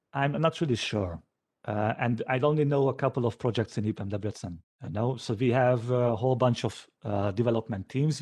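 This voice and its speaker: A man, a developer informally answering questions after a talk in a hesitant, halting way, as if thinking aloud. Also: fairly low pitch, mellow. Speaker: software developer giving a conference talk.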